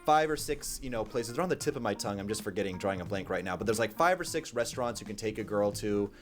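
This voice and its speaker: A man's voice: on the low side, lively intonation, quick pace, faint music behind. A man in a brash, profane ranting monologue, giving blunt dating advice to camera. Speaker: dating coach.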